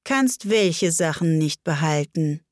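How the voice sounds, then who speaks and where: Woman playing a slow, languid, scornful caterpillar. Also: deep voice, expressive, a little mellow, no echo, studio quality. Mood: curt, commanding. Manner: slow, stern, terse. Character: curt, scornful, sluggish hookah-smoking caterpillar.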